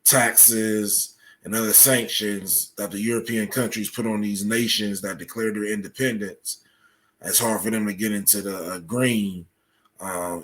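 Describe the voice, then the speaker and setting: Male podcast guest, lowish voice, halting explainer delivering researched answers in conversational form. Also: fairly bright tone.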